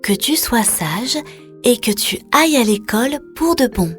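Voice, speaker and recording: A woman voicing a kind, motherly but firm good fairy in a children's story, gently admonishing. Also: on the high side, animated, unhurried, soft background music.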